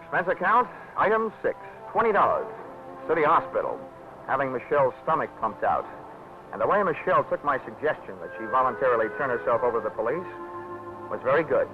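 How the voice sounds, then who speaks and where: A man's voice: medium pitch, sing-song, brisk, natural voice. An actor playing a wry insurance investigator who narrates his case in dry, wisecracking 1940s radio acting, on a tinny old radio broadcast with music.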